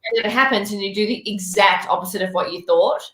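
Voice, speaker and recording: A woman's voice: medium pitch, fast talker, reverberant, noisy background. A woman hosting a casual chat, teasing her guests and laughing often. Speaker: podcast host.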